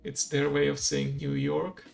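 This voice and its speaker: Streamer who talks a lesson through slowly; slightly higher voice with a gravelly edge. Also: male voice, monotone, darkish tone. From Romania. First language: Hungarian. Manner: slow, thinks aloud, explains step by step. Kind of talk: live-streamed language lesson playthrough.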